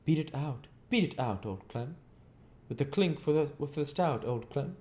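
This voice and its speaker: Guy doing a surly slouching labourer from an old storybook, voice pushed higher, gruff short country talk. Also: lively intonation, normal speed, warm tone, natural voice. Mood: muttered chant. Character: slouching, surly, sullen labourer Orlick, growling terse villager. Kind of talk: storybook character voice.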